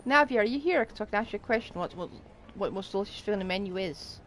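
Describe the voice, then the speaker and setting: A woman's voice: medium pitch, expressive, fast talker, a little hiss or hum. A woman game streamer giving casual live commentary, thinking aloud as she plays.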